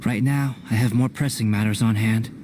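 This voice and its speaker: An actor playing a composed young scholar in an anime-style video game, analytical and detached, with measured, precise, formal speech. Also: male voice, on the low side, fairly even intonation, background noise, close to an everyday voice. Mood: calm, matter-of-fact.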